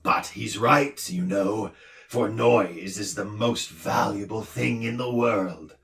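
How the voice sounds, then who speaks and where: A man voicing a pompous male doctor who lectures loudly and roars, in a storybook reading. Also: lowish voice, takes it slowly, roomy sound, far from the mic. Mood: lecturing. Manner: loud, roaring, lecturing. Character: booming gruff male noise doctor, pompous.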